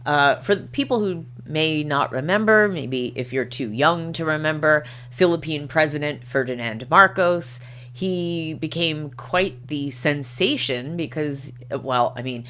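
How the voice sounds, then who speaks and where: A woman's voice: low-pitched, measured pace, fairly bright tone. A middle-aged woman hosting a casual livestream, reading articles aloud and commenting in a chatty, digressive way with wry asides. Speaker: livestream host.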